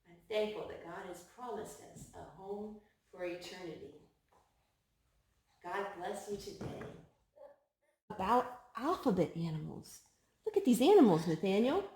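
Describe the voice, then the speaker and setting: A woman hosting a children's storytime, reading picture books aloud in an expressive, sing-song way to a small child. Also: medium pitch, a little slow, pauses often, reverberant, a little hiss or hum, low-quality recording.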